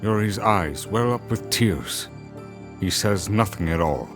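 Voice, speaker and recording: A man's voice: on the low side, expressive, slow pace. A man reading scripted, dramatic story narration as the narrator. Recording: prominent music under narration. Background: music.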